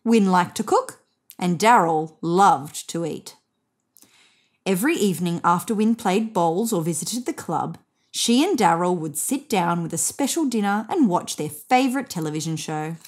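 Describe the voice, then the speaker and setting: A woman's voice: on the low side, animated, normal speed. A woman in her thirties reading a children's story aloud expressively as a narrator. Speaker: storybook reader. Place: indoors on a bed.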